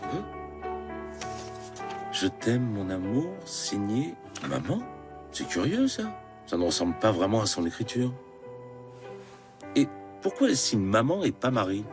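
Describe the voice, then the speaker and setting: A man voicing a preoccupied, puzzled middle-aged father in an animated cartoon, with a fussy, expressive delivery. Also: lowish voice, a little slow, pauses often, music in the background. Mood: puzzled, irritated.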